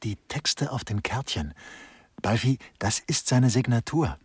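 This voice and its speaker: An actor playing an eager sleuth who theorizes in clipped, emphatic phrases in a grotesque radio crime play. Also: male voice, medium pitch, lively intonation, a little slow, slightly rough, no echo, studio quality. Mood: eager, deducing.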